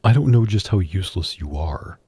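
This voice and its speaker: Man playing a deep, husky, domineering mafia boss. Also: animated, warm tone, no echo, heavily acted. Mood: cool, appraising. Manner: deliberate, commanding, sarcastic. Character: domineering, sardonic mafia boss, adult man, coldly menacing. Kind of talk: intimate ASMR roleplay acting. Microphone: close studio microphone.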